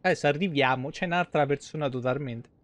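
A man's voice: a little high, fast. A man, giving casual, colloquial, joking live game commentary. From Italy. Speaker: game streamer. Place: small indoor streaming room.

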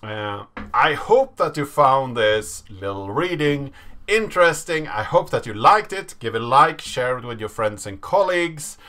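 A man in his thirties presenting in a deliberate, measured way, as in a dramatic reading. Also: medium pitch, sing-song, sharp tone. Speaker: host of a programming channel. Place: small indoor room at a desk.

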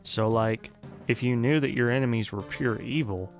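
A man's voice: mid-range voice, moderate pace, faint music behind, natural voice. A man voicing a laid-back, curious young sniper in a video game fan dub. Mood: casual, probing.

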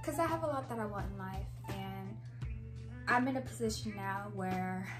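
A young woman with a soft voice chatting casually to camera in her bedroom, rambling with giggly asides, on a clip-on mic. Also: medium pitch, normal speed, over music. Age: young adult. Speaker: vlogger. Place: bedroom, indoors. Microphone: clip-on mic after the first part.

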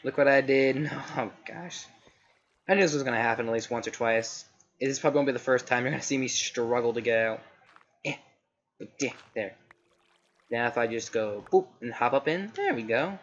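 A man's voice: medium pitch, fairly quick. A young man giving casual, rambling commentary while playing a game, his voice a little scratchy, often correcting himself mid-sentence. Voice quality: slightly scratchy. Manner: rambling, self-correcting, chatty. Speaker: gaming let's play host. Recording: slightly dull home recording with faint noise.

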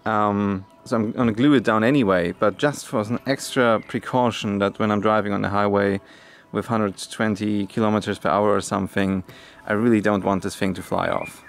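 Relaxed young man with a low voice. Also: moderate pace, vocal fry. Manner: relaxed and self-deprecating, with many fillers and restarts. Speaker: vlogger. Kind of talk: casual DIY vlog narration. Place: inside a half-built van, outdoors beside a building. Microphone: camera mic at arm's length.

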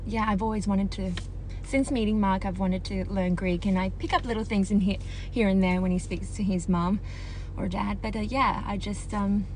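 A woman's voice: medium pitch, low-quality recording. Chatty romanian-born woman in her 30s, talks to her live viewers on her phone, lots of ums and little laughs, faint hiss behind. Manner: chatty, warm, laughs often, trails off with ums. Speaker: vlogger answering live viewer questions. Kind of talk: casual chat. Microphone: phone livestream.

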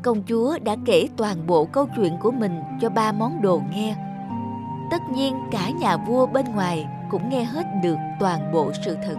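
A woman's voice: medium pitch, expressive, measured pace. Female fairy-tale narrator, scripted storytelling delivery, music bed behind the voice. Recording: music under the voice.

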